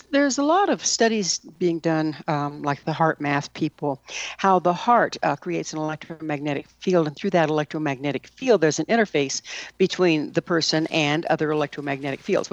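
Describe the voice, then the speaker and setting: A man's voice: fairly high pitch, expressive, normal speed, no room sound. A man interviewing conversationally, thoughtfully building long, leading questions.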